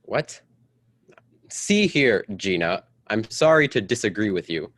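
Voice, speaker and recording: Man acting domineering husband, stuffy politician, low-pitched, dry sound, brisk formal speech, old-time radio melodrama. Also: sing-song, fairly bright tone, dry recording, heavily acted. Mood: insistent, indignant.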